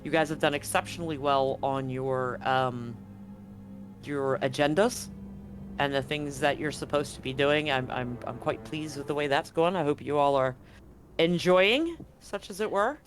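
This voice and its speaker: A woman's voice: low-pitched, normal speed, faint music behind. Encouraging woman game master on a stream. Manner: encouraging, praising the players. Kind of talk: casual wrap-up chat on a tabletop roleplaying stream.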